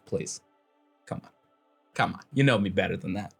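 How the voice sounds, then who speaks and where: A man's voice: deep voice, normal speed, vocal fry, breathy edge, faint music behind, pitched below the performer's own voice, exaggerated voice. A man playing a sly, smug bounty hunter who bargains casually with an old acquaintance in a tabletop game. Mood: smug bargaining.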